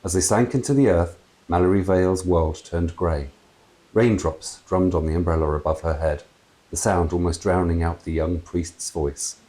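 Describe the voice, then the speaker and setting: A man in his fifties reading a children's story aloud in an expressive storytelling style. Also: low voice, normal speed, poor audio. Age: 50s. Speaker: author reading own book aloud. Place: small room with wooden door and brick wall. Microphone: clip-on lapel mic.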